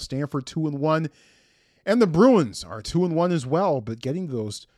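A middle-aged man hosts a sports podcast in a somewhat high voice. His delivery is upbeat and punchy, with an announcer's emphasis on key words. The sound is very dry, with little room around the voice. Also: a little mellow, dry recording. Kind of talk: scripted sports podcast presenting.

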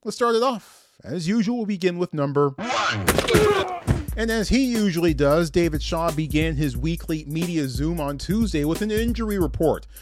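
Upbeat man hosting, slightly high voice. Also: lively intonation, normal speed, music in the background. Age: middle-aged. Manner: upbeat, emphatic announcer delivery. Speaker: sports podcast host and play-by-play announcer. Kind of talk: scripted sports podcast presenting.

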